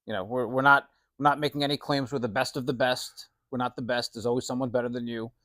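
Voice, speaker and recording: A man in his late forties talks casually as an interview guest. His pitch is middling and the sound is dry and free of echo. He is plain-spoken, friendly and earnest, like a shop owner talking with a regular. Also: fairly even intonation, fast, a bit bright. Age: late 40s. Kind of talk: casual chat.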